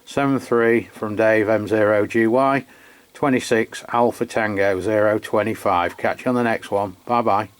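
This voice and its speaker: An English man hosting a radio demo in casual unscripted chat, halting and rambling as he corrects himself. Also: fairly low pitch, fairly even intonation, clean tone. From England. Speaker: amateur radio channel host. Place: beside his radio set, filming the equipment.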